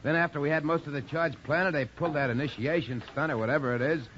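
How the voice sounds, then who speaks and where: An American actor playing a defensive, plain-spoken construction worker in 1940s radio style. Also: male voice, on the high side, fairly even intonation, fast, a bit bright, lightly acted. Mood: defensive, placating. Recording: muffled old radio recording, faint noise.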